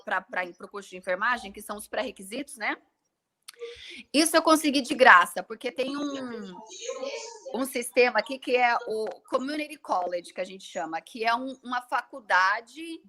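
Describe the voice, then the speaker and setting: A woman's voice: a little high, bright, forceful tone. A Brazilian woman in her thirties, a nurse, explaining her studies in a casual chat, often stopping to restart her sentences. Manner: hesitant, many restarts while explaining. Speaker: interview guest, nurse. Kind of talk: casual live-stream chat.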